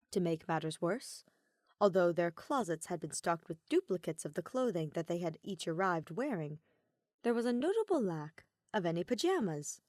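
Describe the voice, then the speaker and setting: A woman reads a fan fiction story aloud with calm, steady storytelling. Her voice sits fairly low. The recording is dry and close, with no room sound. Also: dry recording. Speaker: podfic reader. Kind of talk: scripted fiction narration.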